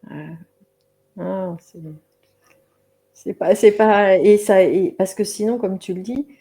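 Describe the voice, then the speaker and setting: A woman guest expert talks over a video call in an animated, casual way. She often restarts her sentences before finding the words. Her voice sits at a medium pitch. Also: slow pace. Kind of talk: casual conversation.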